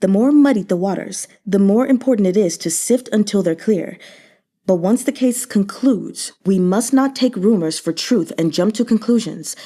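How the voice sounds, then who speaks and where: A woman voicing a shrewd, unreadable male commander in a historical novel, calm, formal and deliberate. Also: mid-range voice. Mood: composed, shrewd.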